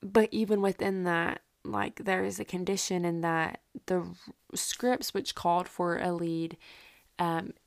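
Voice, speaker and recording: A woman's voice: fairly low pitch, steady tone, a little slow. A woman hosting a solo podcast, telling history in a casual, informal way with rambling asides and self-interruptions. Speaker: podcast host.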